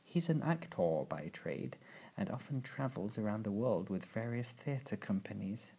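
A man's voice: fairly low pitch, expressive, a little slow, vocal fry, warm tone, slightly rough, exaggerated voice. A man voicing a busy, stiff middle-aged banker in a storybook, formal and matter-of-fact. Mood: brisk, dismissive.

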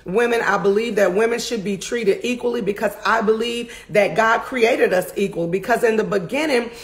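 Woman preacher, raspy gravelly voice, fervent and emphatic, middle-aged, close webcam in a home study. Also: a little high, moderate pace. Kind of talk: sermon recap to camera. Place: home study with bookshelves, indoors.

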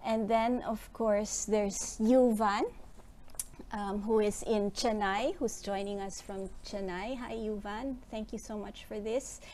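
A woman's voice: mid-range voice, steady tone. Middle-aged woman host on a video call with an earphone mic, talky intro style, faint background hiss. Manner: warm, chatty, slightly rushed with fillers. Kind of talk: introducing speakers on a webinar. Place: small room indoors.

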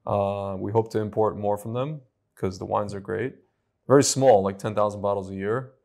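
Calm low-voiced young man explaining. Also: normal speed, creaky. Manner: calm, explains patiently with small restarts. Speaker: wine importer guest. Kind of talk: casual explanatory chat. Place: studio set with vineyard backdrop. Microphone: lapel mic.

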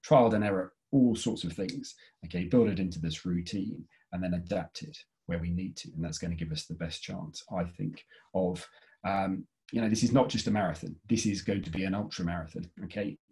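Calm man giving webinar tips on a video call. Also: low voice. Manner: calm, measured, frequent "okay?" check-ins. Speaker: webinar speaker. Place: home study with bookshelves. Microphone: video call webcam.

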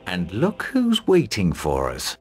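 Gruff, animated mercenary commander. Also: male voice, low-pitched, slow pace, full character voice. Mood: dry, wary. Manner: curt, dry, commanding. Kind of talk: fantasy video game acting.

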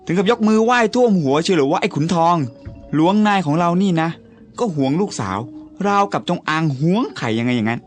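An actor in a radio drama playing a gossipy, teasing servant in an old noble household. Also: male voice, sits high, sing-song, fairly quick. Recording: studio radio drama with music.

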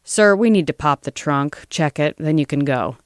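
A man's voice: fairly high pitch, expressive, moderate pace, clean tone, no room sound, clean studio sound, natural voice. A man voicing a stern older male police officer, firm and official, in a thriller story.

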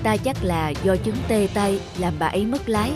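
A woman's voice: mid-range voice, moderate pace, music in the background, natural voice. A woman voicing a serious, concerned doctor in a cartoon drama, speaking earnestly. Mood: grave, concerned. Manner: earnest, explanatory.

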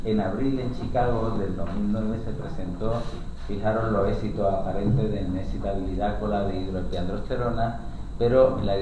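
A man's voice: fairly low pitch, far from the mic. A middle-aged man from Spain giving a conference talk on a panel, casual and full of asides and tag questions. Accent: Spain Spanish. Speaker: conference speaker. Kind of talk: academic talk to an audience. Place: large hotel conference room. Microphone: table mic on a panel. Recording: very echoey room from afar, noisy.

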